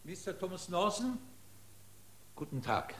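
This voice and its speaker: An actor playing a proud, blunt head of a research institute in a 1950s German radio drama, with a husky voice and formal, emotional delivery. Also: male voice, high voice, big pitch swings, a little slow, fairly bright tone, exaggerated voice. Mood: formal, courteous.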